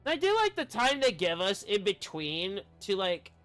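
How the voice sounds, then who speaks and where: A man's voice: sits high, wildly swooping pitch, a little slow, fairly bright tone. A man giving casual, rambling commentary as a game streamer, often breaking off mid-sentence. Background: faint game music.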